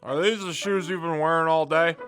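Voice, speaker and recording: Man playing a cocky amateur detective, highish voice. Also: fairly even intonation, brisk, music in the background, natural voice. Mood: confident. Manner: self-assured, theatrical, pun-loving. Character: cocky, dogged young male amateur detective. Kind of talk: audio drama acting.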